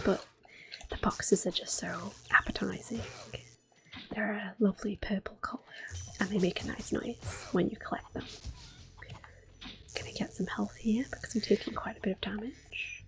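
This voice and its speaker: Softly whispering woman gaming host. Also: mid-range voice, steady tone, vocal fry, poor audio. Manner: gentle, unhurried, chatty, with occasional small exclamations. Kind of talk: soft whispered game commentary.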